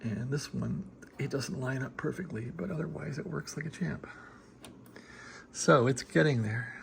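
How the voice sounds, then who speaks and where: A man's voice: medium pitch, normal speed, gravelly, slight background noise. An American man giving a casual, unscripted show-and-tell as a hobbyist, talking in a rambling, folksy, colloquial way into a handheld phone. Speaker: radio hobbyist showing a restoration project.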